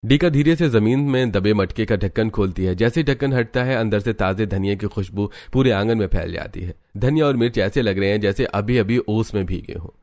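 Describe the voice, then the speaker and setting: A man's voice: on the low side, fairly quick, few pauses, a little mellow. A man reading scripted narration for a cartoon story in the present tense.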